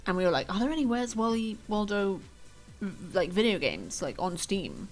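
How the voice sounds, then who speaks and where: A woman's voice: medium pitch, big pitch swings, moderate pace. A young woman streaming from home, chatting casually and rambling in a self-deprecating way. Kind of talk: casual chat to stream viewers. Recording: faint music behind.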